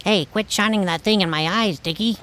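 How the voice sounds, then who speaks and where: This is a guileless young boy playing an alligator supervillain, as a man acts him out. He sounds high and earnest, and he takes every joke literally. His tone is bright and sharp. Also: brisk. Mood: whiny. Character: ten-year-old boy dressed as an alligator villain, naive. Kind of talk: audio drama acting.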